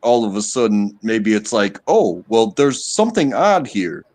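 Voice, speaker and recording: Low-voiced halting man in casual talk. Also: very animated, a little mellow. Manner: hesitant, many fillers and restarts. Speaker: stream guest. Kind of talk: casual chat.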